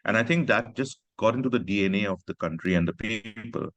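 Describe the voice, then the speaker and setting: A man's voice: fairly low pitch, fairly bright tone, dry recording, muffled recording. A man from Pakistan, an author speaking earnestly in a reflective conversation. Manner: earnest, measured, personal storytelling.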